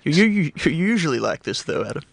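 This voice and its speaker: Man playing a deadpan, creaky old fuddy-duddy gentleman. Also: a little high, no room sound, heavily acted. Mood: dry. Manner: halting, deadpan, stammering delivery. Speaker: radio show co-host. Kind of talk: deadpan comic radio banter.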